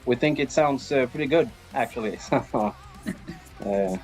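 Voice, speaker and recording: Male musician interviewee, medium pitch, thoughtful pauses, casual answers over music. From Sweden. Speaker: band drummer being interviewed.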